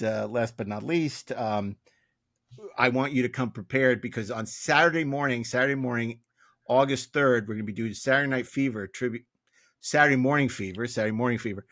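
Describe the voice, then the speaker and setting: A man's voice: medium pitch, a bit bright, no room sound. Guy podcast host, rambly and jokey, plugging events, really dry close sound. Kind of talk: casual podcast chat promoting events.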